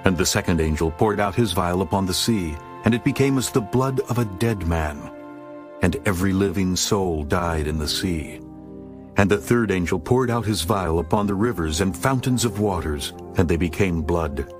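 A man's voice: low-pitched, animated, moderate pace, over music. A man narrating a dramatized scripture audiobook in a solemn, formal manner.

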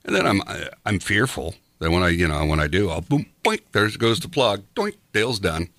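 A man's voice: deep voice, big pitch swings, fairly quick, a bit bright, no room sound. A man in his sixties chatting casually as a radio host, rambling with lots of fillers and chuckles. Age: 60s. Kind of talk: casual chat.

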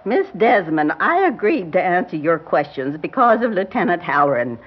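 Genteel grieving grandmother, older, hesitant and defensive, lively intonation, dull classic-radio recording. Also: on the low side, moderate pace, breathy edge. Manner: prim, hesitant, evasive. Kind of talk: classic radio drama acting.

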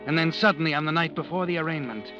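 An actor playing an ominous narrator who addresses the hero directly as a grave, accusing inner voice in an old radio drama. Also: male voice, a little high, fast, sharp tone. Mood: grim, goading. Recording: dull old radio recording. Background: faint music.

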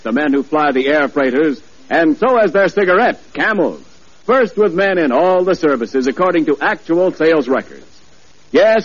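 A man announcer reading a 1940s radio commercial in a polished, emphatic sales pitch. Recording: dull 1940s radio broadcast.

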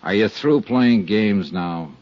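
An actor playing a steady, hard-boiled insurance investigator in a 1950s radio drama, clipped and matter-of-fact, with an American accent. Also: male voice, on the low side, takes it slowly, no room sound. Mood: firm, pressing. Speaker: narrator and lead actor. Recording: dull 1950s radio recording, music behind.

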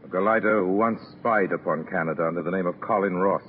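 Man acting a very deep, ominous radio narrator. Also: fast talker, close to an everyday voice. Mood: grim, urgent. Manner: solemn, dramatic, rhetorical delivery. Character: stern, ominous male narrator addressing a doomed prisoner. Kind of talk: 1940s radio drama acting, second-person narration. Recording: tinny, noisy 1940s radio broadcast.

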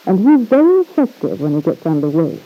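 A woman's voice: fairly low pitch, sing-song, brisk. An actress playing a proud, hard-edged young married woman in an old-time radio drama, with cool, sardonic retorts. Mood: defiant, determined. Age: young adult. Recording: dull, lo-fi vintage radio recording.

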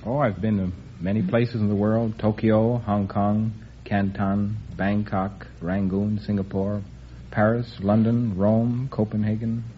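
A man's voice: fairly low pitch, little pitch movement, a little slow. A man, a quiz show contestant, who answers in a polite, earnest and slightly hesitant way. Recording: dull old radio broadcast with faint hiss.

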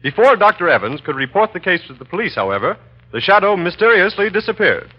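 Old timey radio announcer guy, scripted and punchy, raspy, kinda dull muffled sound. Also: high voice, big pitch swings, fast. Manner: emphatic, formal. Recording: muffled 1930s radio recording.

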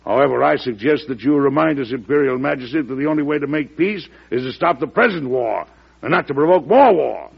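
An actor playing a forceful, shrewd American president in an old radio drama, frank and emphatic, with an American accent. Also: male voice, medium pitch, expressive, brisk, vocal fry, breathy edge. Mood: stern. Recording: muffled vintage radio broadcast recording.

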